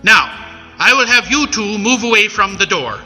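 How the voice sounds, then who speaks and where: An actor playing a pompous, bumbling pirate swindler in a comic radio play. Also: male voice, high voice, very animated, normal speed, pushed and bright, exaggerated voice. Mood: menacing, blustering. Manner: pompous, melodramatic threats, bumbling. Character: blustering villainous pirate swindler with muddled accent. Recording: echoey event hall, music behind.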